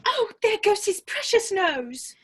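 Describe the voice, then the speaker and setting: A woman's voice: very high-pitched, sing-song, takes it slowly, a bit bright, full character voice. A woman playing a polite, curious, fretful young girl in a dramatic reading of a children's classic, earnest and prim. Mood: alarmed.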